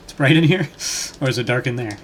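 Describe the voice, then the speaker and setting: A man's voice: mid-range voice, quick pace. A man chatting casually while playing a video game on a home livestream, laughing often and reacting to the action. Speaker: gaming streamer and father. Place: home room. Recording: noisy home stream audio.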